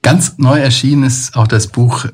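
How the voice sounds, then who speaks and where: A man with a husky, slightly breathy voice hosts a podcast interview. He talks in a relaxed, enthusiastic way, full of small affirmations and filler sounds. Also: mid-range voice, normal speed. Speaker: podcast host and producer. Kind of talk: casual interview chat.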